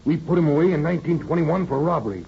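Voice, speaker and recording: Raspy, wisecracking American police lieutenant. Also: male voice, a little high, animated, fast, full character voice. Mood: matter-of-fact, confident. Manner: slangy, confident. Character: wisecracking, know-it-all police detective lieutenant. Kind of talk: 1930s radio acting. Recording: muffled vintage radio recording.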